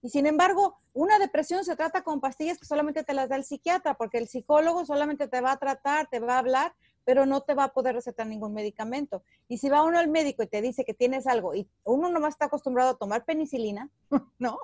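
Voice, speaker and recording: Mexican woman, higher voice, close dry sound. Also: fast, a little mellow, no room sound. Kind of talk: candid conversational discussion.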